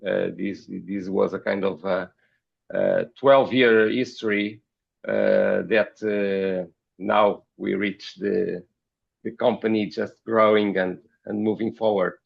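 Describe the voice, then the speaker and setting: A man with a Portuguese accent speaks slowly in a gravelly voice, hesitating and repeating words as he answers as an interview guest. The recording is dull and lo-fi. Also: on the low side, steady tone. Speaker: podcast guest, company founder.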